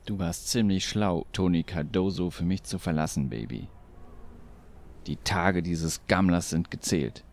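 Man playing a low-voiced gangster boss. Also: unhurried, a little mellow. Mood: cocky menace. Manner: swaggering, theatrical. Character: cocky, ruthless male mafia gang boss. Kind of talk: radio play acting.

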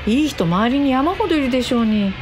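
A woman playing a frank, teasing matchmaker in a radio drama, speaking in a brisk, exclamatory, chiding way. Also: high voice, lively intonation, a little mellow, a little hiss or hum. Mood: incredulous. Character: frank, teasing female matchmaker, old friend of client.

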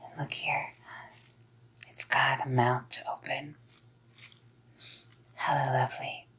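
A young woman playing an obsessive, menacingly sweet psycho girlfriend in a whispered ASMR roleplay, cooing and softly threatening. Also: rumbling low, flat delivery, takes it slowly, slight background noise, heavily acted. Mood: affectionate. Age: young adult. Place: small dim room indoors. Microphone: close ASMR mic.

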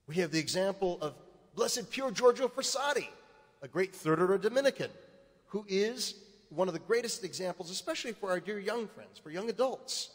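Priest preaching, lively high voice. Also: male voice, moderate pace. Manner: preaching with rising emphasis. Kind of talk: sermon. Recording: reverberant church hall.

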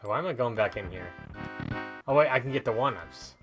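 A man hosts a gaming video in a medium-pitched voice. He talks casually and thinks out loud as he plays. Game music runs clearly behind his commentary. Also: lively intonation, fairly quick. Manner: chatty, thinking aloud while playing.

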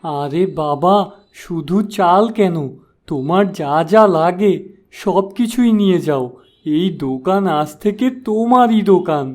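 A man's voice: high voice, lively intonation, unhurried. A man playing a gullible, starry-eyed young village shopkeeper in a cartoon, naive, eager and trusting. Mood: eager, grateful. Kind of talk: cartoon moral-story dialogue. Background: background music.

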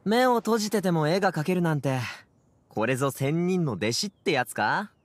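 A man's voice: a little high, animated, normal speed, no room sound, close to an everyday voice. A man voicing a brash, teasing young man in a Japanese video game, with slangy, casual speech. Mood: amazed.

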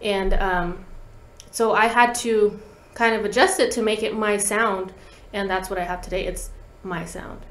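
A woman's voice: mid-range voice, fairly even intonation, a little slow. A young woman guest musician explaining things in a casual, slangy way in an interview. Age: young adult. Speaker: guest musician, talk box player. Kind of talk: casual interview chat with demonstration. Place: small podcast studio, indoors. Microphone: tabletop podcast microphone. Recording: roomy echo, light background noise.

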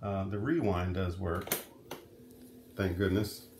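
A man's voice: low voice, fairly even intonation, takes it slowly, background noise. A middle-aged man giving a casual, unscripted demonstration, talking conversationally and thinking aloud as he handles things. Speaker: vlogger, vintage audio hobbyist. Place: room at home, indoors.

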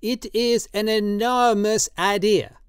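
A storybook reader voicing an excitable, drunken old man who pleads and insists, raised well above the reader's own voice with an altered tone. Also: mid-range voice, takes it slowly, clean tone, no echo, clean studio sound, voice pushed up, natural voice. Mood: eager.

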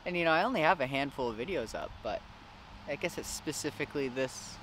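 A man's voice: fairly high pitch, expressive, fast talker, fairly bright tone. A young American man chatting casually to the camera as a fishing vlogger. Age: young adult. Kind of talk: casual vlog chat to camera. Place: outdoors by a river, sometimes in a car. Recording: outdoor recording with clear background noise.

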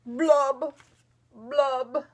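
Mom doing a sulky storybook fish, slow mopey sing-song, loud and drawn out. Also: female voice, high-pitched, fairly even intonation, pitched above the performer's own voice. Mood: gloomy chant. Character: glum, gloomy male fish with a pout.

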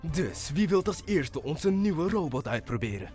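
A man's voice: fairly high pitch, very animated, measured pace, a little mellow, soft background music, voice pushed down. A man doing a stern, scolding science teacher. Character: strict adult male science teacher. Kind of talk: amateur cartoon fandub.

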